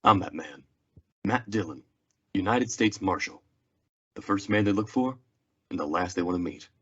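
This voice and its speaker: Man as Matt Dillon, deep husky stoic marshal. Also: animated, moderate pace, fairly bright tone, muffled recording, exaggerated voice. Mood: stoic, lonely. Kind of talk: old-time western radio monologue.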